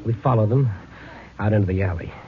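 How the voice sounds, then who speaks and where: Wounded stoic policeman, man acting, lowish and weary, muffled vintage radio drama sound. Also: a little fast, lightly acted. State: weak from a wound. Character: wounded male patrolman, weary but stoic. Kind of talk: 1950s radio crime drama. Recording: muffled, noisy old radio recording.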